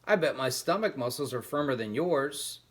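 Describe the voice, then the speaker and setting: A man's voice: mid-range voice, expressive, moderate pace, close to an everyday voice. A man voicing a small, earnest, boastful boy mouse from a storybook, eager in manner. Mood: proud, eager.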